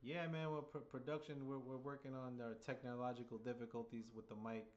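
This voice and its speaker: A man giving casual, chatty play-by-play for a live chat, seated at a table at home, sounding under the weather with a voice getting hoarse. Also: medium pitch, flat delivery, a little fast, darkish tone, highs cut off. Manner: chatty, hyped, keeps rallying the chat. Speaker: fan livestream commentator.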